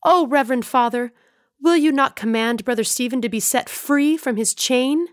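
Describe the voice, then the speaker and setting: A woman's voice: high voice, normal speed, no echo, clean studio sound, pitched above the performer's own voice, close to an everyday voice. A woman voicing an earnest little boy who pleads breathlessly. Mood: pleading.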